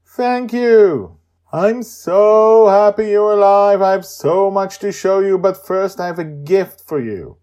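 A man voicing an excited, grateful nine-year-old girl adventurer in a storytelling, gushing and exclaiming eagerly. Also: high-pitched, slow, pitched above the performer's own voice. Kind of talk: acted storytelling.

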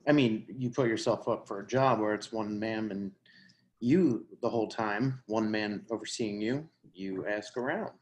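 A woman's voice: extremely low pitch, moderate pace, slight background noise. A woman playing a polite, flustered and chatty newcomer in a stage play reading, a little out of breath. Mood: matter-of-fact. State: out of breath, acted. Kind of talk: readers theatre play reading.

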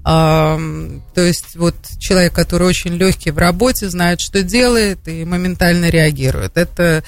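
A woman's voice: fairly low pitch, normal speed, no room sound. A woman co-hosting a radio talk show and introducing a guest with praise. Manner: warm, admiring introduction. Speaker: radio co-host. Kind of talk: radio conversation.

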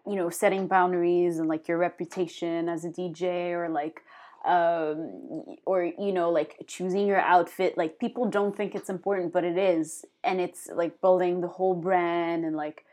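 A young woman with a slightly low voice hosts a casual podcast chat. Her questions wander, and she fills them with "like" and "you know" while she hesitates. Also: level tone, moderate pace, darkish tone. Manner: rambling, heavy "like" fillers, hesitant. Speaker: podcast host.

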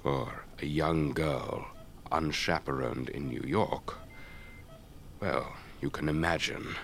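An actor playing an elderly English retired air force officer, urbane and measured, telling tales in a radio drama. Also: male voice, extremely low pitch, heavily acted. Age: older. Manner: measured, old-fashioned gentlemanly, theatrical raconteur.

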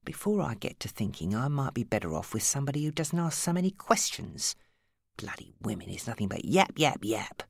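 A woman's voice: very deep voice, big pitch swings, brisk, vocal fry, breathy edge, dry recording, full character voice. Woman acting a smug, menacing bully. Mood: quiet menace. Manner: sneering, contemptuous, hissing when angered. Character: smug, menacing, bullying male club boss. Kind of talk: audiobook character acting.

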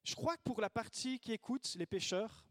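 Animated guy preaching, kinda high voice, dry close sound, acts out little voices. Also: normal speed, no echo. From Italy. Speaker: pastor. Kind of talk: sermon.

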